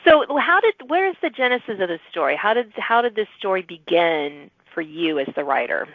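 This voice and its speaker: A woman's voice: medium pitch, very animated, a little fast, dry recording. A woman hosting a podcast, conversationally interviewing authors about their books. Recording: muffled narrow-band radio-like call audio.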